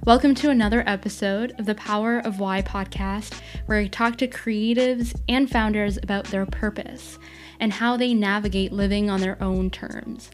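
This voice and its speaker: A woman's voice: mid-range voice, fairly even intonation, normal speed, faint music behind. Upbeat woman hosting a podcast. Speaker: podcast host. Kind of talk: podcast interview.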